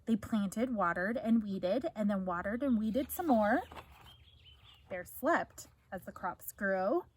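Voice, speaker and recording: A woman's voice: mid-range voice, expressive, slow, slightly rough. A woman teacher reading a story aloud to children, explaining as she goes and prompting them with questions.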